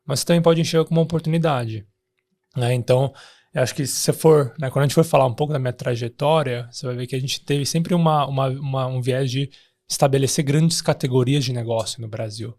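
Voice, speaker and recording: This man is from Taiwan but speaks with an Araraquara Brazilian Portuguese accent, at a mid-range pitch for a man. He talks as an interview guest, relaxed and conversational, often ending sentences with "né" and restarting phrases. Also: fast talker. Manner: tags sentences with "né", frequent restarts. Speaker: guest, startup founder. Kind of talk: casual interview chat.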